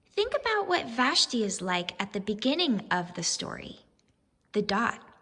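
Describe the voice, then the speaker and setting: A woman reads a children's story like a teacher, slowly and with big swings in pitch. Her voice is husky and expressive, and it rings with a long reverb. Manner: expressive, deliberate, addressing young children. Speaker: teacher reading aloud. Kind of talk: children's storybook read-aloud with questions.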